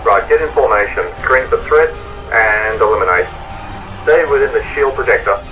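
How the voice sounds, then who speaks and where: A man playing a brisk, commanding starfighter squadron leader through a radio effect in an audio drama. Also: lowish voice, bright, forceful tone. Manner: clipped, commanding. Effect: radio comms filter. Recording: dull radio-comms sound over music.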